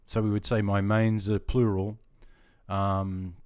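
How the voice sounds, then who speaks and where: A middle-aged man from Sydney with an Australian accent, deep-voiced and chatty, talking casually with viewers on his gaming livestream. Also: flat delivery, slow pace, darkish tone, clean tone. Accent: Australian, with some Queensland features. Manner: chatty, friendly, greets viewers by name.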